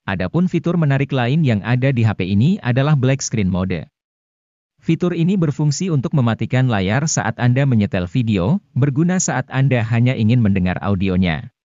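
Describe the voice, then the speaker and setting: Male narrator, mid-pitched and soft-toned, formal explainer read, slightly muffled dry recording. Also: expressive, moderate pace, no room sound. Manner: formal, informative. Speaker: narrator of gadget review videos. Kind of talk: scripted narration.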